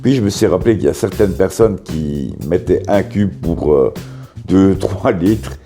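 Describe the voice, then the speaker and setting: A man with a cold and a sore, hoarse throat, casually talking the viewer through simple home recipes with jokes along the way. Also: low-pitched, unhurried, dark tone. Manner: joking, chatty, talks straight to the viewer. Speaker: cooking vlogger. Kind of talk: casual cooking video narration. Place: his bedroom. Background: guitar music.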